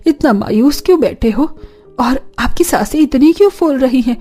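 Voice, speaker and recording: A woman's voice: high voice, lively intonation, normal speed, dark tone, faint music behind. An actress playing a worried, long-suffering woman of a poor village family in a plaintive, melodramatic cartoon style. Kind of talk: Hindi cartoon dubbing.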